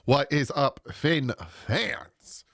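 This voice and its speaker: A man's voice: medium pitch, lively intonation, creaky, fairly bright tone, no room sound, studio quality. A man in his thirties hosting a sports channel from home, sounding congested and nasal from a cold as he chats casually and rambles to viewers. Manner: rambling, chatty, quick asides to viewers. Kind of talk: casual solo sports commentary. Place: home room. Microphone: desk microphone.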